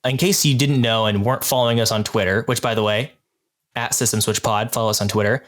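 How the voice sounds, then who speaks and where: A man hosting a podcast in an informal, appreciative and slightly halting way. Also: lowish voice, steady tone, a bit bright. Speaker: podcast host. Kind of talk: casual hosting chat.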